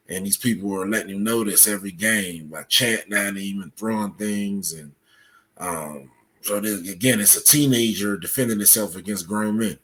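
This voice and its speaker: Male podcast guest, lowish voice, halting explainer delivering researched answers in conversational form. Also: fairly bright tone.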